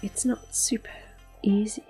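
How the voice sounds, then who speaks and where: A woman speaking softly and whispering in a gentle, unhurried, rambling way as she gives casual ASMR commentary while playing a video game. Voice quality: soft-spoken, whispery. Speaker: gaming video host. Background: game music and controller sounds.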